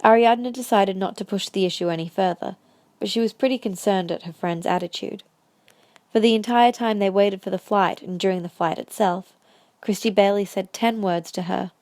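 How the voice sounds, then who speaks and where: A woman narrates a scripted audio drama in story prose. Her voice has a medium pitch and the sound is close and very dry. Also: moderate pace, darkish tone, no echo.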